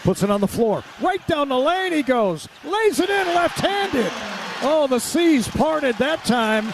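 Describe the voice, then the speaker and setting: A man's voice: very high-pitched, sing-song, unhurried. An American man calling live radio basketball play-by-play in clipped, present-tense phrases. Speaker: play-by-play announcer. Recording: clear crowd and arena background noise.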